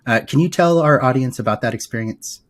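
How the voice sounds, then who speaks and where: A man's voice: medium pitch, a little fast, a little hiss or hum. A man hosting a podcast, reading a polished intro and then interviewing a guest. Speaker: podcast host.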